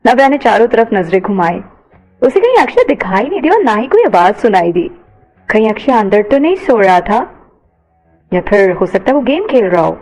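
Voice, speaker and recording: A woman's voice: mid-range voice, big pitch swings, normal speed, a little mellow, soft background music, poor audio. A woman reading scripted narration for an audio story.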